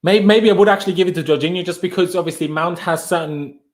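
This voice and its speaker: A man's voice: high-pitched, fast. A man from Austria hosting a casual late-night live stream, chatting loosely, reading out viewer comments and calling them bro.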